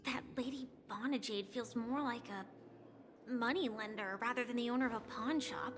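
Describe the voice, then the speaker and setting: An actress voicing a dry, unimpressed young woman traveler, the player character of a video game, with a deadpan delivery. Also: on the high side, moderate pace, slight background noise, lightly acted. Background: game music.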